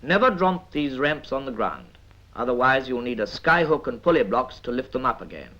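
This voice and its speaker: A British man reads scripted 1950s military training film narration in a measured, instructive manner with dry asides. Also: mid-range voice, expressive, pushed and bright. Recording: dull vintage 1950s film soundtrack.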